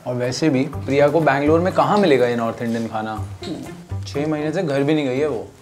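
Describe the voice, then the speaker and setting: A man's voice: mid-range voice, brisk, music in the background, natural voice. A man playing an easygoing young son. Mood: affectionate. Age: 20s. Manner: playful, joking. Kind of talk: scripted short-film acting.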